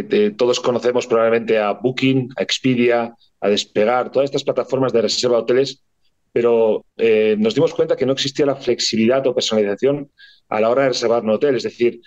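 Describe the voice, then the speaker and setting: A man's voice: medium pitch, a little mellow, dull audio. A man from Barcelona with a Spanish accent, a company founder answering interview questions fluently but with frequent hesitations and repeated words. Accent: Spanish from Spain. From Spain, Barcelona. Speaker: startup founder and CEO, guest.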